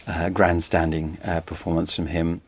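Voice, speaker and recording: A man, an actor, speaking haltingly and appreciatively about a production in an interview. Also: deep voice, little pitch movement, husky.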